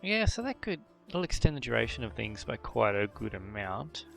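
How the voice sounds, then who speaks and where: A man hosting a game video, thinking aloud through character choices with frequent fillers and throat clearing. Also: medium pitch, big pitch swings, moderate pace, a bit bright, soft background music. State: voice a bit worn from a long previous session. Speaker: gameplay channel host. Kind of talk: casual gameplay commentary.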